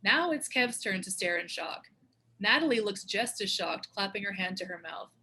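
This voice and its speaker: Bright-voiced woman narrating a script. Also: medium pitch, expressive, normal speed, breathy edge. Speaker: narrator reading stage directions.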